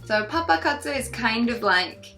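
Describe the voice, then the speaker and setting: New Zealand woman, light relaxed explainer. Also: on the high side, moderate pace, faint music behind. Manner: relaxed explaining, filler-heavy with "sort of" and "like", self-deprecating jokes. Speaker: podcast co-host. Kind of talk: casual podcast chat.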